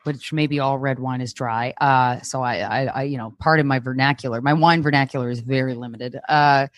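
A woman's voice: very deep voice, fairly even intonation, brisk. A woman chatting casually as a podcast guest, playful and crude with her quips. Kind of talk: casual joking chat.

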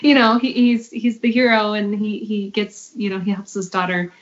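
A woman's voice: medium pitch, level tone, normal speed. A woman giving her thoughts as a podcast guest in a casual, earnest and thoughtful way. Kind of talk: casual discussion. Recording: dull, lo-fi audio.

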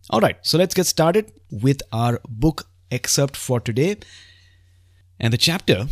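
A man's voice: mid-range voice, dry recording, clean studio sound. A man hosting a podcast, speaking earnestly and emphatically straight to his listeners. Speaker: podcast host. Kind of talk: upbeat podcast hosting and reading book excerpts aloud.

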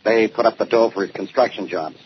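A man playing a gruff, irritable, sarcastic homicide inspector in a 1940s radio detective drama. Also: on the low side, fast, lightly acted. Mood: sardonic, irritable. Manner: blunt, sardonic, impatient. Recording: muffled, noisy old-time radio recording.

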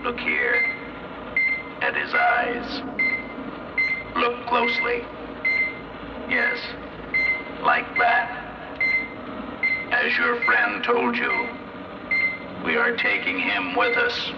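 A man's voice: low-pitched, wildly swooping pitch, muffled recording, very noisy, full character voice. A man playing a cold alien intelligence speaking through a possessed human, hollow and commanding, in a 1960s radio drama. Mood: commanding, ominous. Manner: stiff, deliberate, commanding. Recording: echoing old radio recording with music.

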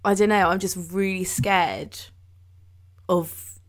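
A woman's voice: lowish voice, slow pace. Young woman chatting on a podcast, loads of "like", laughs easily. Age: 20s. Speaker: podcast co-host. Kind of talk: casual chat.

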